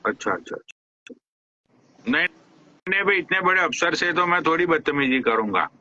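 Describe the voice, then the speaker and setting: A high, very bright and tense male voice, ribbing his guest with crude jokes and put-on respect. He swears freely. The sound is dull and lo-fi, like a live stream. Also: sing-song, normal speed, a little hiss or hum.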